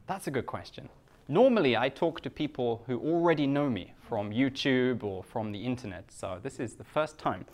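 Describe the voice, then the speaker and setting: A British man from the UK gives a live talk to a Japanese audience in simple, slow English, joking at his own expense. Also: mid-range voice, expressive, a little mellow. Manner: simple slow English with self-mocking jokes. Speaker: guest speaker.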